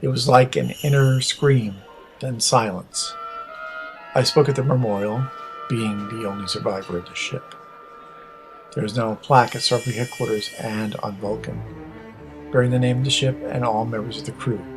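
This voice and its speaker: A man's voice: medium pitch, fairly even intonation, over music, lightly acted, poor audio. Man playing stoic, formal Vulcan captain. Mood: solemn. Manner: measured, formal, precise. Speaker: voice actor. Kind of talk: audio drama, formal log narration.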